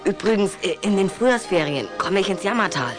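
A woman's voice: mid-range voice, lively intonation, brisk, over music, lightly acted. A woman playing an awkward, polite schoolboy in a German children's audio drama, hesitant and sheepish. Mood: baffled, hesitant.

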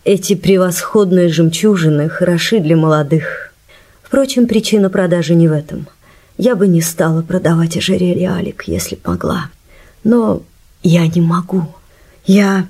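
An actress playing a refined, troubled older widow in a radio play, speaking in a hesitant, confiding way. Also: female voice, fairly low pitch, lively intonation, normal speed, darkish tone, husky. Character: refined, troubled older widow forced to sell heirloom pearls. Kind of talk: Russian radio play acting. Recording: music bed under studio voice.

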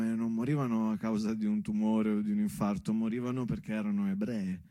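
A man's voice: mid-range voice, dark tone, no room sound. Earnest man delivering a theatre monologue. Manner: earnest, emphatic, repeating phrases. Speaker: stage actor and monologist. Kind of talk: theatrical monologue, addressed to his daughter.

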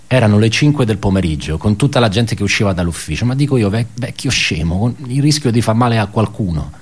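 Low-voiced man, wry bitter office worker. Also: animated, a little mellow, breathy edge. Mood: sardonic, musing. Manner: halting, self-correcting, conversational, ironic. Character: wry, bitter male office worker recounting colleagues' suicides. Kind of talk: theatrical radio monologue, reflective storytelling.

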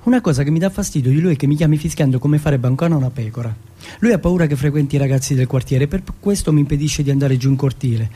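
A man from Turin performing an autobiographical theatrical monologue, telling it in measured short phrases and lists. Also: a little high, mellow, breathy edge. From Turin, Italy.

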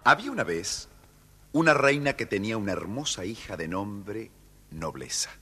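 A man's voice: fairly low pitch, sing-song, moderate pace, over loud music. A man with an Argentine accent narrating a scripted children's story as the storyteller.

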